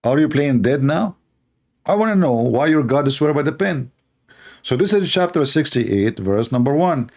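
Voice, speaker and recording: A man's voice: expressive, moderate pace, a little mellow. A man whose first language is Arabic, giving a mocking, sarcastic live commentary and often laughing at what he reads. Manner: sarcastic, laughing, theatrical swings in pitch. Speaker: religious debate streamer.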